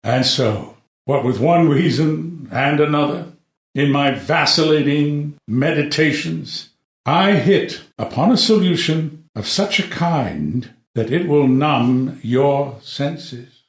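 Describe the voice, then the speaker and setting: An actor playing a proud, solemn older king in a stage play reading, speaking in deliberate oratory with weighty pauses. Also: on the high side, lively intonation. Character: proud, solemn older king justifying his decrees to vassals. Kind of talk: dramatic reading of a classic verse stage play. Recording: echoey room.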